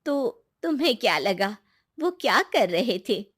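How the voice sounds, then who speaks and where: A woman's voice: on the high side, big pitch swings, a little slow, fairly bright tone, slightly rough, exaggerated voice. A woman playing a secretive, reassuring village woman of firm faith in a cartoon moral story, emphatic and coaxing. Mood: confiding. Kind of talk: Hindi animated moral story acting.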